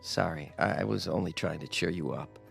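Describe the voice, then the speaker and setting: Sardonic, possessive young fiancé: low creaky voice, wry radio drama delivery. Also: male voice, a little fast, faint music behind. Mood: teasing. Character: smooth, sarcastic, possessive young banker fiancé.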